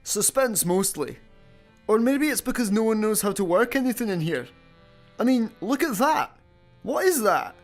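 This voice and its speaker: Deadpan, higher-voiced AI kettle. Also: male voice, moderate pace, soft background music. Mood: pedantic. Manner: deadpan, pedantic. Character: hapless, literal-minded, dryly pedantic AI in a kettle. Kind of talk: radio sitcom acting.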